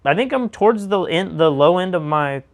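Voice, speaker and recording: An American man co-hosting a casual discussion show, speaking emphatically with a slightly raspy voice and throwing out rhetorical questions. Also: high voice, animated, fairly quick. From United States. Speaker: show co-host.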